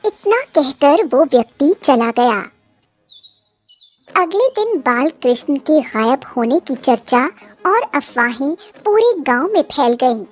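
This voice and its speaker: A woman's voice: sits high, very animated, takes it slowly, faint music behind. A woman narrating a scripted children's cartoon story.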